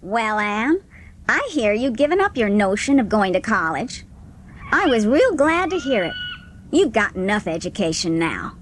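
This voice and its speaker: A woman's voice: a little high, sing-song, normal speed, noisy background. A woman voicing a blunt, opinionated older village busybody in an audiobook, forthright and emphatic. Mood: brisk approval. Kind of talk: dramatised audiobook acting.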